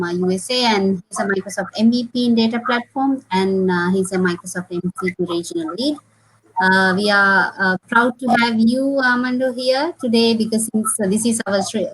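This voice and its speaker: A woman hosting an online event, introducing the next speaker in a halting way with many fillers. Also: medium pitch, fairly bright tone, a little hiss or hum, rough recording. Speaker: event host.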